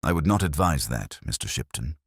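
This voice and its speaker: A man voicing a cool, relentless Victorian detective with formal, deliberate speech in an audiobook story. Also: a bass voice, sing-song, slightly rough, no room sound, clean studio sound, heavily acted. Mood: cool warning.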